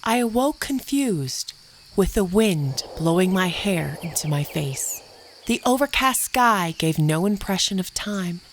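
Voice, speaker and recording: A woman playing a wounded preteen camp girl, narrating a horror story in the first person in a deliberate, detached, dramatic way. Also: fairly low pitch, expressive, a little hiss or hum. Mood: confused, pained. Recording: music behind the voice.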